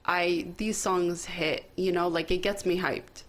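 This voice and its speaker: A woman hosting a casual talk show. Her voice sits a little low and she speaks at a very slow pace as she presents items from a list conversationally. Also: fairly even intonation.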